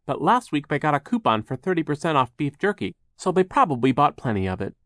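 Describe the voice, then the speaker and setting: Man playing a mild, timid, raised-pitch gentleman. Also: very animated, fast, no room sound, studio quality. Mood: kindly, hesitant. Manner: polite, anxious to placate. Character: kindly timid man, mild mill partner, eager to please.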